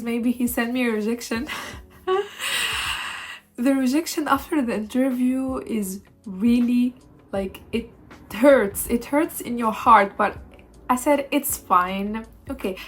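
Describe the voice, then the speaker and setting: A young woman, telling a casual storytime vlog with many pauses and fillers. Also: on the high side. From Tunisia. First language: Arabic. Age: 20s. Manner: hesitant, many fillers and restarts. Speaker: vlogger.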